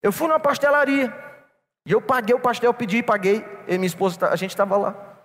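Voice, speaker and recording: A man's voice: high voice, fast, a bit bright. A man preaching a sermon to a church congregation, telling a personal story and calling them brothers. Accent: non-local Brazilian. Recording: long reverb in a church hall.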